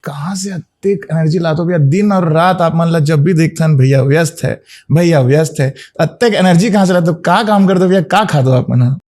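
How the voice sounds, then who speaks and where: A man's voice: a little high, a little fast. A man, hosting a podcast interview and asking his guest questions in an effusive, admiring way. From Rajasthan, India. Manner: effusive, flattering introductions. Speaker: podcast host.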